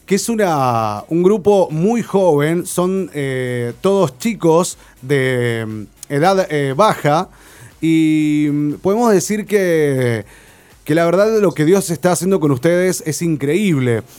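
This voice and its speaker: A man hosting a radio interview, asking questions in a relaxed way with many hesitant fillers. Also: fairly high pitch, takes it slowly. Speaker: radio host.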